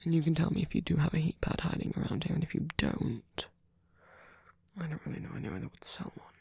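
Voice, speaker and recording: A sweet, doting vampire boyfriend, acted out by a performer, speaks in a very breathy half-whisper. He is gentle and attentive, halting and rambling softly through an ASMR comfort roleplay. The sound is close and dry, with a creaky edge. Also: medium pitch, no room sound. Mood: tender, reassuring. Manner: hesitant, halting, rambling, with soft filler murmurs.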